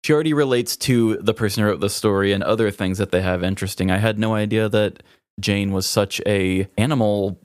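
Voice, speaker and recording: A man's voice: on the low side, a little fast, no room sound, clean studio sound. A man co-hosting a podcast, chatting casually in a joking, irreverent way. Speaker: podcast co-host. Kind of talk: casual comedic chat.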